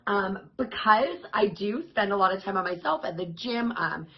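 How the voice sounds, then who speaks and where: A woman fitness coach talking casually to her viewers, her voice raspy and hoarse, in an upbeat, chatty and encouraging way. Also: mid-range voice, expressive, moderate pace, dull audio. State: hoarse, voice worn out. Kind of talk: casual vlog talk to camera.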